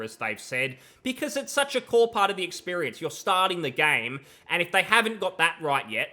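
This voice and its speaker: Young guy ranting about games, highish bright voice, emphatic and disapproving, close mic. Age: young adult. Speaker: gaming commentator. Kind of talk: critical opinion commentary. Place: small room at home. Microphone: close desk microphone, headset on.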